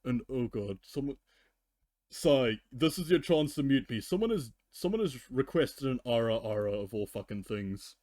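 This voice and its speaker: A man's voice: medium pitch, lively intonation, moderate pace, darkish tone, dry recording, clean studio sound. A man reading fan fiction on a livestream, moving between storybook narration and profane, joking casual asides.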